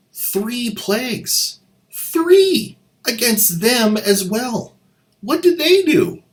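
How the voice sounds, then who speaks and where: A man's voice: lowish voice, fairly bright tone. A man hosting a comedic commentary with a sarcastic, mocking manner. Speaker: host.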